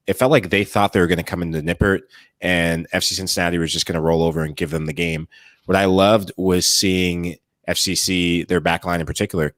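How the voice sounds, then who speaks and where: A man's voice: sits low, fairly bright tone, dry recording, clean studio sound. A man with a Midwestern American accent, a former player, talking soccer in a casual, enthusiastic and emphatic way. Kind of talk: casual sports talk.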